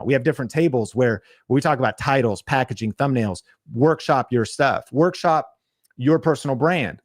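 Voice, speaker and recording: Energetic man pitching to viewers. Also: dry recording, studio quality. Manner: energetic, salesy, direct to viewers. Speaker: podcast host. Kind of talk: livestream pitch and chat.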